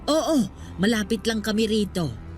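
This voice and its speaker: A woman's voice: medium pitch, expressive, a little slow, darkish tone, soft background music, close to an everyday voice. A woman in a Filipino radio drama playing a talkative, chiding but proud street vendor aged sixty. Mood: friendly. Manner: chatty, chiding.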